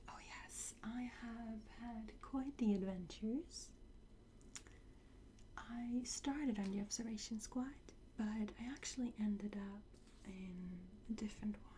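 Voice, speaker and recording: A woman whispering gently and reassuringly in a breathy voice, close to the microphone, playing a calm, courteous trainer in an ASMR roleplay. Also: a little high. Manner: slow, gentle, reassuring, with soft pauses and hums. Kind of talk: soft whispered ASMR roleplay, addressing the listener. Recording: close whisper with clear background noise.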